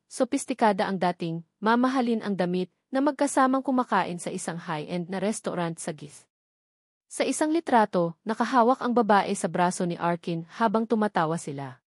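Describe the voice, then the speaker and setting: A woman's voice: mid-range voice, dry recording, studio quality. A woman with a Filipino accent reads a scripted romantic drama story as its narrator.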